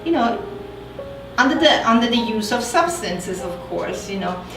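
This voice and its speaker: A woman's voice: fairly high pitch, big pitch swings, gravelly. Woman, roomy distant sound. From Austria. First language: German. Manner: measured, explains step by step with "you know". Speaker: psychotherapist guest. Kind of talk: explanatory interview talk. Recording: roomy distant mic with music.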